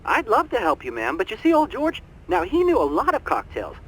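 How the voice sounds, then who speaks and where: A man's voice: high voice, huge pitch swings, a little fast, a bit bright, husky, full character voice, rough recording. A man playing an obliging hotel barman in a video game, chatty and folksy with a theatrical delivery. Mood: helpful, chatty.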